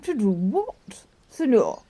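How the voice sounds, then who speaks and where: An actress playing a wise, calm friar with a measured, formal manner in a Shakespearean audio play. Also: female voice, fairly high pitch, exaggerated voice. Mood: assured, knowing. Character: wise, calm friar presiding over a wedding.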